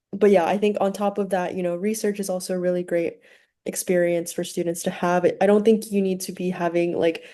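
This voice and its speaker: A young woman, a student panelist, giving a relaxed answer with casual fillers. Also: on the low side. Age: young adult.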